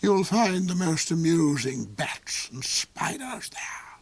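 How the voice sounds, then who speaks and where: A man's voice: a little high, big pitch swings, takes it slowly, breathy edge, no room sound, voice pushed up, full character voice. A man doing an icy, hissing cruel duke. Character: cold, cruel aristocrat with a monocle.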